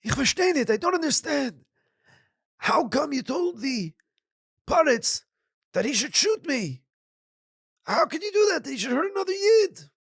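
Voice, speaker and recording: A man doing a high, insistent old rabbi. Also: sing-song, measured pace, vocal fry, long pauses, fairly bright tone, dry recording, clean studio sound, heavily acted. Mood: reproachful. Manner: high insistent questioning, mixes in Yiddish words. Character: stern old male rabbi, high and pleading.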